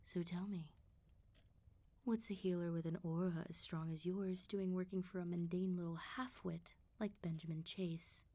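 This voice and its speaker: A woman voicing a suave, menacing female vampire mob boss in an ASMR roleplay, politely teasing and threatening with unhurried control. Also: lowish voice, fairly even intonation, dark tone, lightly acted. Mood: cool threatening.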